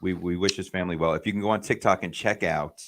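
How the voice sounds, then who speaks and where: A man hosting a casual podcast chat, speaking in an earnest and measured way with frequent pauses. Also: low voice, steady tone, noisy background.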